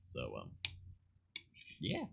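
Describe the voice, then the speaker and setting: A man's voice: drawn-out words, a bit bright. A man with a Northern Ontario Canadian accent, hosting a stream with joking, crude banter. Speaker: streamer host. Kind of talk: casual stream chat.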